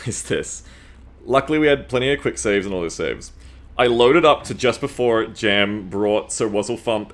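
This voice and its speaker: A man chatting casually to viewers as a game streamer, rambling, excitable and swearing freely. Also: medium pitch. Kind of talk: casual livestream chat to viewers. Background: music.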